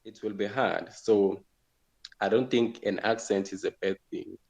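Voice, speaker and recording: A man's voice: fairly low pitch, fairly even intonation, unhurried, gravelly, darkish tone. A man from South Africa, a guest in an interview, answering thoughtfully and repeating phrases as he thinks. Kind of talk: relaxed conversational interview answers.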